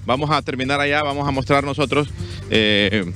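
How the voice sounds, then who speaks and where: A man reports live from a roadside, bright and forward in tone. He halts often with fillers as he speaks into a handheld mic, faint music running underneath. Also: mid-range voice, normal speed. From Honduras. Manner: hesitant, many fillers. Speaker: field reporter. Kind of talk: live news field report. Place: outdoors on a roadside.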